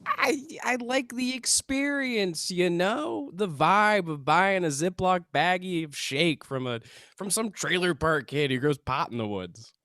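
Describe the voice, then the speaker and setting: A man's voice: high-pitched, animated, a little slow, bright, forceful tone, dry recording, studio quality, exaggerated voice. A man acting a dejected stoner UFO hunter in a comedy table read, grumbling in a self-pitying slacker way. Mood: sheepish, glum.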